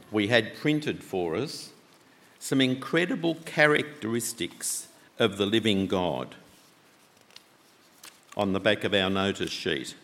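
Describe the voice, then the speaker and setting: A man preaching a sermon with a loud voice, talking conversationally and asking the congregation many questions. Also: medium pitch. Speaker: pastor. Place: church. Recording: long church reverb.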